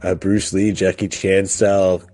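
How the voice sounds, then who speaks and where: A middle-aged man co-hosting a casual podcast, speaking haltingly as he searches for words. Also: deep voice, flat delivery, dark tone, dry recording. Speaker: podcast co-host. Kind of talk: casual chat about video games.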